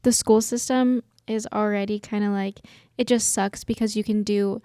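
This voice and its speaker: A woman's voice: medium pitch, fairly even intonation, moderate pace, warm tone, clean tone, no room sound, clean studio sound. A young woman co-hosting a podcast, rambling casually with lots of "like". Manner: rambling, heavy use of "like". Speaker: podcast co-host. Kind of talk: casual chat.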